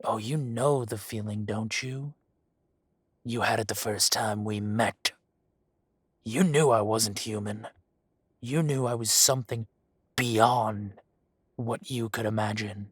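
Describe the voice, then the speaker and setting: A man voicing a male dragon who poses as a mafia boss, speaking with slow, purring, mocking menace. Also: on the low side, fairly even intonation, husky, no room sound, studio quality. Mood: silky menace. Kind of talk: roleplay audio drama.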